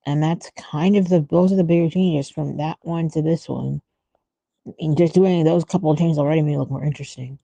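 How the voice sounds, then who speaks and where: Dark, creaky-voiced woman musing aloud. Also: deep voice, steady tone, a little fast, dry recording. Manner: musing, trailing off mid-thought. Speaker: artist streamer thinking aloud while drawing. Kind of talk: casual livestream art commentary.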